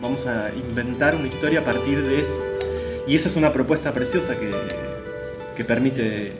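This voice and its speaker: A man's voice: mid-range voice, moderate pace. A man in reflective conversation about creative work, repeating words as he searches for the next one. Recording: roomy echo with music behind. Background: guitar music.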